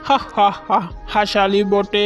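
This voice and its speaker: A woman's voice: medium pitch, flat delivery, slow pace, soft background music, close to an everyday voice. A woman voicing a boastful, bullying lion king in a children's cartoon, blustering and exclamatory. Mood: gloating, menacing. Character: bullying, boastful lion king who turns wailing coward.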